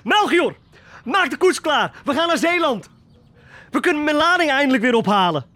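A domineering male slave-ship captain in a historical radio play. He commands and gloats with very lively, swinging intonation. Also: very high voice, moderate pace, no echo, exaggerated voice. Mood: gloating. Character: triumphant, domineering slave-trading ship's captain.

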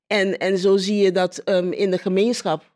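A woman giving a spontaneous personal account in an interview, haltingly, repeating phrases as she searches for words. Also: mid-range voice, level tone, darkish tone. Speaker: interviewee, local politician.

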